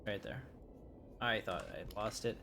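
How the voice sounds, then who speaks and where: A man's voice: on the low side, very animated, a little slow, gravelly, pushed and bright, a little hiss or hum. A man giving casual, easygoing live gameplay commentary, thinking aloud as he plays. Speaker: gaming streamer.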